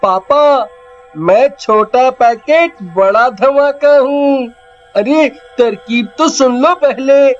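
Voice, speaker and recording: Clever little cartoon village boy, played by a man: high, unhurried, pleading and eager, slightly dull recording with music. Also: exaggerated voice. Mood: eager, cheeky.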